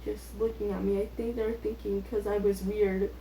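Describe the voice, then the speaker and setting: A woman's voice: mid-range voice, little pitch movement, moderate pace, warm tone. A teenage girl chatting casually and rambling, with a self-deprecating, youthful way of talking full of filler words. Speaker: vlogger. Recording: echoey room with faint background noise.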